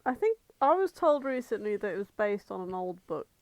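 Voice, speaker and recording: A woman's voice: mid-range voice, sing-song, brisk, darkish tone, no echo. A British woman co-hosting a podcast in casual comedic chat, blunt and sweary. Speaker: podcast co-host.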